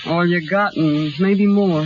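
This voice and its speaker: A woman's voice: lowish voice, slow. An actress playing a tough, defiant young carnival woman with slangy, brash talk, in 1930s radio drama style. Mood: wheedling. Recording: muffled, noisy 1930s radio recording.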